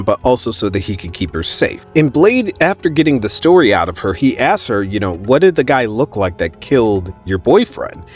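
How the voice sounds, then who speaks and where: A man telling a story in a casual, conversational way, as a narrator recapping a comic book. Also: lowish voice. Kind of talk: casual animated story recap, quoting characters' dialogue. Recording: music faintly behind the voice. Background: background music.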